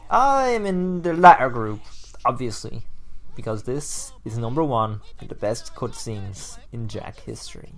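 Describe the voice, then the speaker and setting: A man's voice: mid-range voice, animated, unhurried. A man narrating a scripted review countdown as host, enthusiastic, emphatic and casually profane.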